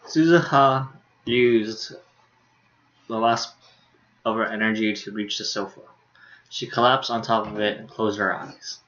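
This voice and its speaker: A man's voice: fairly low pitch, steady tone, a little slow, pauses often, fairly bright tone, lo-fi sound, slight background noise. A young man streaming, casually reading a visual novel aloud with offhand asides.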